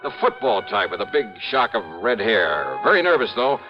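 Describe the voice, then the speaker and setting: A muffled old radio broadcast with music carries an actor as a wry American male investigator. His pitch moves freely and animatedly. He is confident and dry, narrating and sparring in 1950s detective style. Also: sing-song, normal speed, lightly acted. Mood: wry narration.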